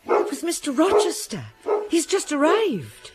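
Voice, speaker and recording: An older actress playing a kindly, fussing housekeeper in a radio drama. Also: female voice, high voice, huge pitch swings, measured pace, over loud music, full character voice. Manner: bustling, fussy.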